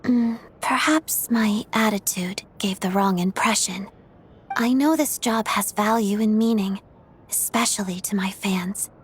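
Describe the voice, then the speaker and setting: A woman's voice: mid-range voice, a little hiss or hum, close to an everyday voice. Woman playing a cool, reserved young ballet star. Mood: composed. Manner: cool, measured, understated. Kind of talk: video game cutscene acting.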